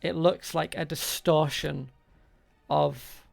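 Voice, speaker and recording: A man narrating an improvised tabletop game as game master, halting and pausing between short phrases. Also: on the high side, steady tone, gravelly, soft background music.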